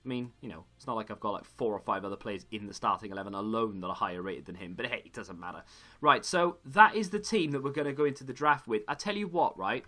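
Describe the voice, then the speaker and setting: A man, slightly hoarse, rambling through casual gaming commentary and correcting himself as he goes. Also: on the low side, lively intonation, fast, sharp tone. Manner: rambling, self-correcting chatter. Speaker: gaming YouTuber.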